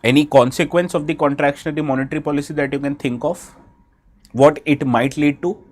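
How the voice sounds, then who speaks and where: A man's voice: fairly high pitch, steady tone, fairly quick. A man with an Indian English accent, who has a bad cold, gives a live exam-prep lecture and keeps asking his students questions. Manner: asks students questions, switches briefly into Hindi.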